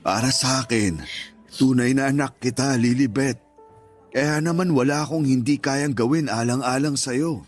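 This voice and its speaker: A middle-aged stepfather played by a man speaks in a medium-pitched voice with a Filipino accent. He is devoted, tender and reassuring, like a dad giving counsel in a radio drama, with faint music behind him. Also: moderate pace, close to an everyday voice. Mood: tender, moved. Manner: earnest, fatherly, reassuring. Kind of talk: radio drama acting in Tagalog.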